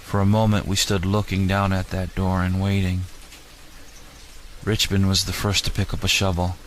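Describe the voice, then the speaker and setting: A man's voice: low voice, monotone, normal speed. A man narrating a first-person dramatic audiobook in a somber, melodramatic manner, recorded at home with some noise.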